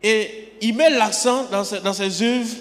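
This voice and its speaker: High-voiced preacher in a reverberant church. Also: male voice, measured pace, fairly bright tone. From Côte d'Ivoire. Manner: emphatic, repeats words and restarts often. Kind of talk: sermon. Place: church sanctuary. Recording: long hall reverb.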